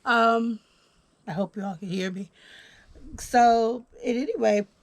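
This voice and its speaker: A woman's voice: fairly high pitch, slow pace, no room sound. A woman talks through a casual podcast with plenty of ums. She is a little under the weather. The sound is dry and close-miked. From Houston, Texas. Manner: relaxed, filler-heavy, reads from notes. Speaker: podcast host. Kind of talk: casual conversation.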